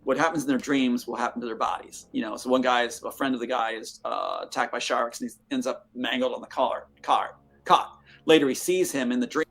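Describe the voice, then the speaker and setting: A man's voice: mid-range voice, steady tone, brisk, vocal fry, a bit bright. A man in a played interview clip, rambling through a story and tripping over repeated words.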